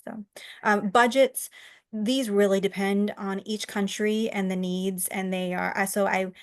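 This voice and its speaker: Woman, panel expert, mid-range voice, level intonation, measured explanatory delivery. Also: medium pitch, steady tone. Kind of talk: explanatory answer in a Q&A. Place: online video call.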